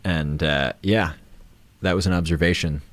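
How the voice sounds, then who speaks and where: A man hosting a casual live stream, talking off the cuff and often pausing to think aloud. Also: low voice, fairly even intonation, a little hiss or hum. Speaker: host of a self-care live stream. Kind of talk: casual unscripted chat.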